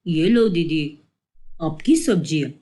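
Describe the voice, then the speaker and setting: A woman's voice: low voice, lively intonation, a little slow, dark tone, voice pushed down. A woman voicing a cheerful, humble, chatty male street vegetable seller in a cartoon story. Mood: grateful, friendly.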